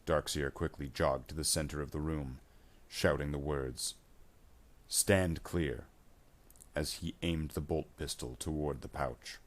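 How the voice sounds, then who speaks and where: A man's voice: very deep voice, fairly even intonation, normal speed. A man reading scripted science fiction battle narration.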